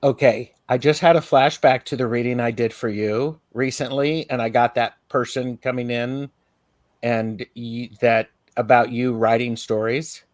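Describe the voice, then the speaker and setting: A man's voice: medium pitch, fairly even intonation, unhurried, dry recording. A middle-aged man co-hosting a casual chat, telling stories with small acted asides. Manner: chatty, storytelling with acted asides. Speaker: co-host, tarot reader.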